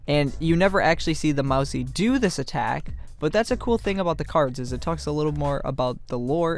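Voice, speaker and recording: A man's voice: medium pitch, gravelly. A man talking casually as a game developer, explaining things in a rambling devlog commentary. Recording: music behind the voice. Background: background music.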